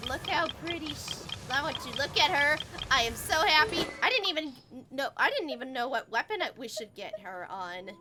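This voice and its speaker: Female game streamer, higher-pitched, excitable and chatty, thinking out loud over game music. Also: fairly quick, few pauses. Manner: excitable, exclaiming, thinking aloud. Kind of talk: casual livestream gameplay chat. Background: video game music.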